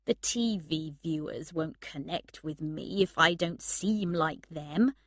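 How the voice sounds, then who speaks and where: A woman's voice: deep voice, slow pace, dry recording, clean studio sound. A woman voicing a sly, charming young man in an audiobook story. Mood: explaining. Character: sly, charming young man, privately smitten with his friend.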